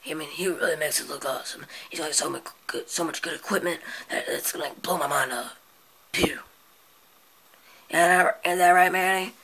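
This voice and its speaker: A performer voicing a laid-back, boastful male snake who talks in breathy whispers and a drawn-out manner. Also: mid-range voice, pushed and bright, a little hiss or hum. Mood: chill.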